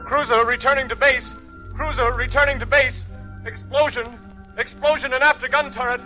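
An actor playing an earnest, alarmed navy lieutenant in an old radio drama. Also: male voice, very high voice, steady tone, moderate pace, soft background music. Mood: urgent. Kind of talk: 1930s-40s radio drama acting. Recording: muffled old radio recording.

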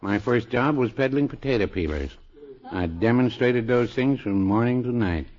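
An actor playing a dry, mild older druggist with a deadpan, teasing delivery in a 1950s radio comedy. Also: male voice, medium pitch, normal speed, natural voice. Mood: mild, reminiscing. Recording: dull old radio recording.

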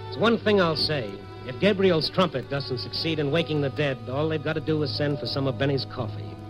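An actor playing a wry, streetwise American reporter, narrating a 1950s radio noir drama in dramatic style over a musical score. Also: male voice, on the high side, brisk, music in the background, close to an everyday voice, low-quality recording. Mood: concerned, wry. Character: hard-boiled, wry male Chicago night-beat newspaper reporter. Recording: vintage 1950s radio broadcast recording.

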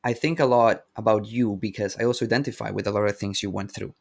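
Man, low voice. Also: fairly even intonation, fast, gravelly. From Venezuela. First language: Spanish. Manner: enthusiastic, complimentary, stammers on restarts. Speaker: host. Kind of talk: casual interview chat.

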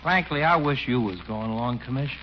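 A man's voice: mid-range voice, a little fast, natural voice. An actor playing a gossipy, folksy small-town working man who talks ungrammatically in a 1940s radio comedy. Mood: chummy. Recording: muffled, hissy 1940s radio recording.

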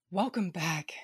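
A woman playing a tough, loyal and blunt space warrior in an audio drama, with a husky voice and terse delivery. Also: low voice, fairly bright tone, no room sound, full character voice. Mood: grim, blunt. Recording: dry studio voice over music. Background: music.

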